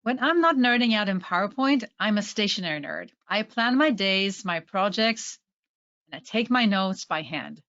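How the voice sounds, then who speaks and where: A woman with a Swedish accent presents a webinar in an enthusiastic, friendly teaching manner. Her voice sits at a middle pitch and comes through a video call with slightly dull, lo-fi sound. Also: animated, normal speed, fairly bright tone. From Sweden. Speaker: presentation trainer.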